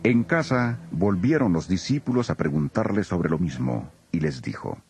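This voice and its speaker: A man narrating a dramatized scripture reading as an expressive storyteller. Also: low-pitched, moderate pace, gravelly.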